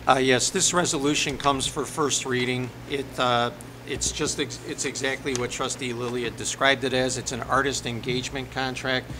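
A middle-aged man speaking haltingly as a village attorney, formally explaining ordinances at a board meeting. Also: level tone. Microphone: desk microphone on the dais. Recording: noisy meeting room with ventilation rumble.

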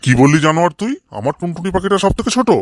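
A man's voice: high-pitched, sing-song, quick pace, music in the background. A man voicing a stern, easily offended village tuition teacher in a comedy cartoon. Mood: indignant. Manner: questioning and bossy, scolds pupils.

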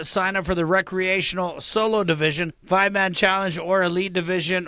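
A man anchoring a local TV sports segment in an energetic, exclamatory, punchy style. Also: high-pitched, no echo. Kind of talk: upbeat sports newscast.

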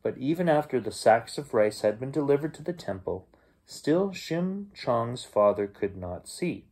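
A middle-aged man reads a children's bedtime story aloud as its host, with expressive storytelling. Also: medium pitch, unhurried, husky. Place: small bedroom at home, indoors.

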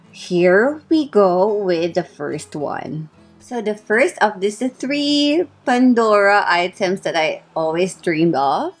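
A Filipino woman in her thirties talks to camera in an unhurried, upbeat way, often pausing on an um. Soft music plays quietly behind her. Her voice sits at an ordinary middle pitch for a woman. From Philippines. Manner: chatty and upbeat, with frequent ums. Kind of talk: casual vlog talk to camera. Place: bedroom at home, indoors.

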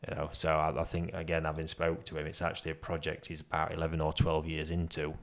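A man's voice: rumbling low, monotone, quick pace, vocal fry, fairly bright tone. A man in his thirties, co-hosting a podcast, talking in a rambling, enthusiastic way with many restarts. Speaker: koi dealer and co-host. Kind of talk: casual podcast chat. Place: small studio room with posters. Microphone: studio podcast mic on arm.

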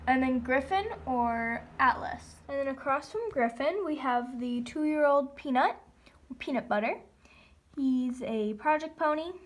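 A woman with a high, light voice casually leads a tour of her model horse barn. She strings the names together one after another with "and then". It sounds like a phone recording in a room at home, with a faint hiss underneath. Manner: lists names in a steady run of "and then". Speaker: model horse hobby vlogger. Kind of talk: casual guided tour narration.

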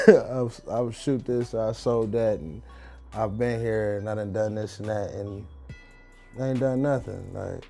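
Brief: young producer, low mellow voice, blunt and opinionated, faint music bed under the interview. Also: male voice, steady tone, measured pace, creaky. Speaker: producer and engineer. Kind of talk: documentary interview. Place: recording studio, indoors.

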